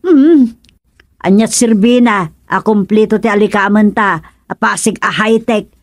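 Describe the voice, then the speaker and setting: A woman's voice: mid-range voice, a little slow, no room sound, lightly acted. A woman acts a sensible, fretful wife in an Ilocano radio comedy serial. Her mid-range voice has an Ilocano accent and a nagging edge. The sound is dry and close. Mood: exasperated, scolding. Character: practical, nagging wife worried about money and debts.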